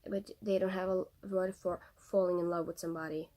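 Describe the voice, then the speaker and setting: A woman's voice: on the low side, level tone, brisk, warm tone. A young woman from Norway with a Norwegian accent, speaking English as a second language, chatting casually and reading passages aloud from a book, with many fillers and restarts. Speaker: vlogger.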